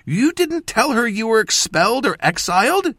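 A man voicing a feisty, indignant teenage girl apprentice mage in an audiobook, sharp and impatient. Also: medium pitch, sing-song, normal speed, no echo, studio quality, voice pushed up. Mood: accusing. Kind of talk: audiobook character voice.

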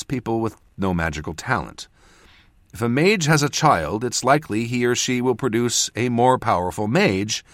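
A man's voice: mid-range voice, a bit bright, no room sound, clean studio sound, pitched above the performer's own voice. A man voicing an earnest, defensive male mage mentor in an audiobook, given to careful explanation. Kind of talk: audiobook character voice.

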